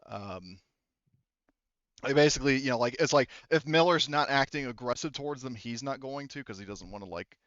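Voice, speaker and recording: Clear-voiced man game mastering, slightly dull audio. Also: mid-range voice, lively intonation, brisk, a bit bright, no echo. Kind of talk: casual improvised tabletop game narration. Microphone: close headset.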